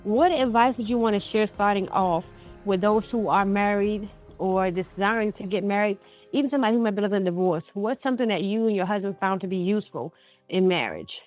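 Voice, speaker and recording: A woman's voice: medium pitch, a little fast, darkish tone, soft background music, low-quality recording. A woman hosting a conversational interview show, talking in a folksy, affirming way and addressing listeners directly. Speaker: radio show host.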